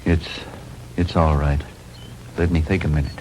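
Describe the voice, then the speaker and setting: An actor playing a level-headed, reassuring man taking charge in a 1960s low-budget film. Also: little pitch movement, normal speed, darkish tone, slight background noise, rough recording. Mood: calm, puzzled. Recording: old film soundtrack with musical score.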